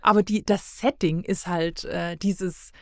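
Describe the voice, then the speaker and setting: A woman's voice: lowish voice, steady tone, unhurried, a little mellow, no echo, studio quality. A woman talking casually as a podcast guest about audio dramas, emphatic and thinking aloud as she goes.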